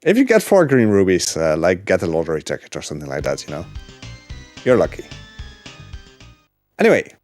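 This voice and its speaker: A man's voice: sits low, fairly quick, pauses often, faint music behind. Man giving laid-back gameplay commentary. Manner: relaxed, chatty, many fillers. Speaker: speedrunner.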